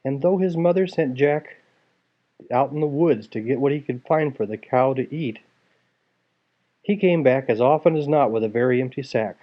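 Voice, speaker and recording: A man's voice: medium pitch, moderate pace. A man reading a story aloud to a child, expressively, with casual asides. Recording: dull lo-fi home recording.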